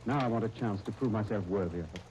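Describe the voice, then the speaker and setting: An actor in a 1930s radio drama playing an earnest, entreating young lieutenant, on a noisy old broadcast disc. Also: male voice, lowish voice, fast, a little mellow, lightly acted. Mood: pleading. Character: eager young British lieutenant, pleading younger brother.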